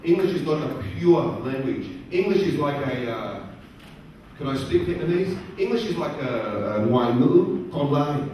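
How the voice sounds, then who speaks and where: A middle-aged man speaking to a live audience at a career event, using slow, simple, repeated phrasing and asking the crowd questions. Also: fairly high pitch, lively intonation, lo-fi sound. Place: large conference hall. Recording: very echoey hall, distant voice, faint noise.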